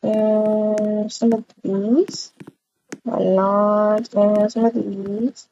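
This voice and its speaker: A woman's voice: mid-range voice, flat delivery, very slow, dark tone. A woman playing an affectionate, harried young mother in a game roleplay, murmuring hesitantly as she thinks aloud. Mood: muttering, harried. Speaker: roleplay video creator. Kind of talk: improvised game roleplay, muttering to herself and a child. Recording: dull lo-fi mic with noise, clicks.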